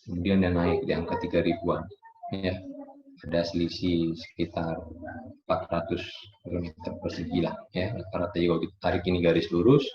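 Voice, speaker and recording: A man with a very low voice explains things as a geologist giving an online lecture. He speaks informally, leaning on everyday analogies and small checking tags. The sound is echoey and somewhat muffled. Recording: roomy echo, dull lo-fi audio.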